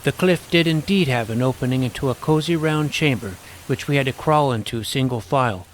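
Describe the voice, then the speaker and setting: A man's voice: mid-range voice, fairly quick, a little hiss or hum, natural voice, poor audio. A man playing a naive, self-doubting, geeky boy who narrates his fantasy adventure in a wry, youthful, earnest way. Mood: wry storytelling. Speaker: narrator and lead character. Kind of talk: first-person scripted fantasy narration and dialogue. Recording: music behind the voice.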